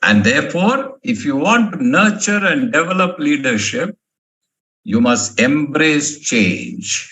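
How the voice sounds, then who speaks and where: A man's voice: fairly high pitch, big pitch swings, slow, pushed and bright. A male professor from India giving a motivational management lecture, emphatic and fond of repeating key words. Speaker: professor giving a guest talk.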